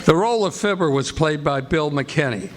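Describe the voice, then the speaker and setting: A man's voice: fairly high pitch, expressive, moderate pace. A man reading scripted announcements in old-time radio style as master of ceremonies for a live audience. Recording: noisy live stage recording with audience. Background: live theatre audience.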